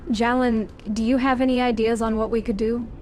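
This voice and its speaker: A woman's voice: a little high, little pitch movement, fairly quick, a little mellow, slight background noise, natural voice. A woman voicing an elven heroine in a video game, direct and questioning. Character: elven woman warden, player hero. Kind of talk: fan-voiced game protagonist.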